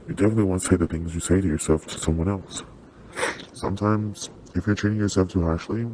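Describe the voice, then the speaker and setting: Performer as kindly male-sounding demon for ASMR roleplay: very low, soft-toned, apologetic, reassuring, processed through a voice changer. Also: a little hiss or hum, poor audio. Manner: soothing, reassuring, conversational. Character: gentle, apologetic sleep paralysis demon comforting a human.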